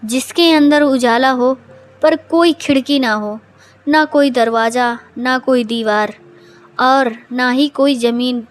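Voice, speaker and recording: A woman voicing an imperious, threatening witch who guards a forest pond in a cartoon story, with slow delivery. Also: sits high. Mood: challenging. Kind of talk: cartoon moral story voice acting. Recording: background music under the voice.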